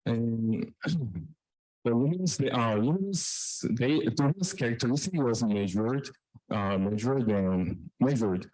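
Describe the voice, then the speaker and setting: A man presenting research in a technical scientific talk, with a halting, hesitant delivery that often repeats phrases. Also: mid-range voice, a little mellow, no echo, low-quality recording.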